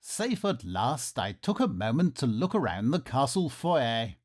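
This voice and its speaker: A man plays a pompous Victorian gentleman in old-style radio theatre. His mid-pitched delivery is melodramatic, well-mannered and very lively, with clear background ambience noise. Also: unhurried. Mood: anxious, dramatic. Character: lost Victorian nobleman, melodramatic, polite and excitable. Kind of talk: melodramatic first-person radio theatre narration and acting. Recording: noisy ambience behind the voice.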